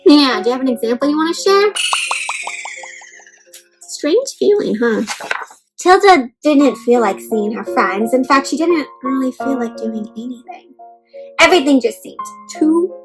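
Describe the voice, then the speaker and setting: A woman's voice: sits high, a little slow, pauses often, over music, poor audio. A woman hosting an interactive read-aloud for children, speaking expressively and encouragingly, straight to her young listeners. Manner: animated, exaggerated expression with frequent questions to young listeners; addresses listeners directly as friends, encouraging. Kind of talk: interactive picture book read-aloud for kids.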